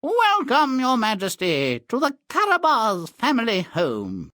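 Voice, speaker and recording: An actor voicing a sly, flattering, bossy cat in boots in a fairy-tale video game. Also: male voice, very high voice, a little slow, dry recording, clean studio sound, full character voice.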